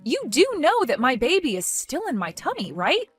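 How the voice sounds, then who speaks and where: A woman voicing a young pregnant wife who speaks quietly and hesitantly, pleading to understand. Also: sits high, huge pitch swings, normal speed, husky, soft background music, exaggerated voice. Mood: bewildered. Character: soft-spoken young pregnant wife, confused and patient.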